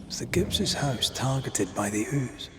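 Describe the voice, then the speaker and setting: An actor playing a gruff, wry ghost hunter in a video game, speaking in a dry, low-key way. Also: male voice, expressive, a little slow, lightly acted. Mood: pensive. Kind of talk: understated video game dialogue.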